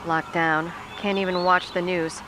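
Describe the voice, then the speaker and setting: Woman acting emergency dispatcher, anxious, polite, radio drama, clear background noise. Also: fairly low pitch, level tone, a little slow, lightly acted. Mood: worried. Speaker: 911 operator. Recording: clearly noisy background ambience.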